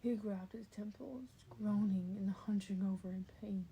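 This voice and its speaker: A woman's voice: mid-range voice, steady tone, normal speed, lo-fi sound, slight background noise. A woman narrating fan fiction as an amateur audiobook reader, now and then stumbling and repeating a phrase. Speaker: podfic reader.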